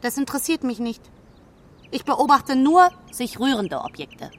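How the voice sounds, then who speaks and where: A woman's voice: high-pitched, big pitch swings, measured pace, a bit bright, full character voice. A woman playing a haughty, aloof female hawk in a children's radio play, speaking in a brusque, proud way. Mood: haughty, dismissive.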